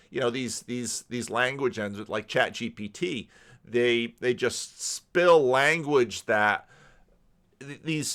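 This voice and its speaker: A man talks off the cuff in a bright, forceful voice at an ordinary pitch. He wanders through his commentary casually, stopping and restarting phrases as he thinks aloud. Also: clear, resonant tone. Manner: rambling, halting, restarts sentences. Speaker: YouTube commentator and pastor.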